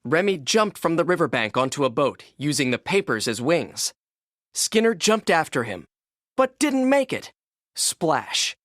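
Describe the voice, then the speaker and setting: A man narrating a children's read-along storybook in an expressive, scripted storytelling manner. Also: a little high, measured pace, dry recording, clean studio sound.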